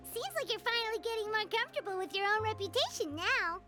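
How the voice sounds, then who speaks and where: A woman voicing a small, childlike, chirpy and curious floating companion in an English video game, with a squeaky voice. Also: animated, fairly quick. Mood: cheerful, teasing. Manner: refers to herself by name, exclaims. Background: loud playful music.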